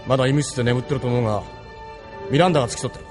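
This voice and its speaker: An actor playing a stern, weary, responsible male ship captain in an anime audio drama, gruff and authoritative. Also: mid-range voice, very fast, music in the background, close to an everyday voice. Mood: subdued, relieved.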